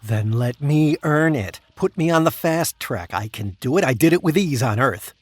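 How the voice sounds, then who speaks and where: A man playing an ambitious, self-important damned soul in an audio drama, speaking in a formal, deliberate way. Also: a little high, expressive. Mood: pleading, proud. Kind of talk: audio drama acting and first-person narration.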